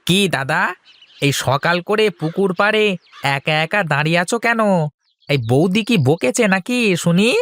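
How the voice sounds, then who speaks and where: A man puts on a scheming younger villager for a cartoon folk tale. The voice is high for a man. He is eager and coaxing, stumbling a little as he wheedles. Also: animated, normal speed, a bit bright. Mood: teasing. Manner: hesitant, stammering, coaxing.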